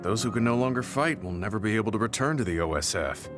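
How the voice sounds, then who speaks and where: An actor playing a reserved, old-fashioned veteran soldier in a video game, speaking in a measured, formal, serious manner. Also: male voice, fairly low pitch, animated, lightly acted. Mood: somber, troubled. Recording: background music over dialogue.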